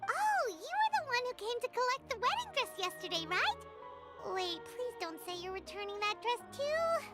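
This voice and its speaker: A woman voicing a tiny, cheerful childlike female video game companion with an eager, squeaky manner. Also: big pitch swings, moderate pace, over music. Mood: chirpy, eager.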